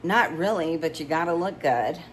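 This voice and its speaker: A woman's voice: sits low, moderate pace, slight background noise, close to an everyday voice. A woman reading the lines of a teasing, gossipy friend, close to her own voice.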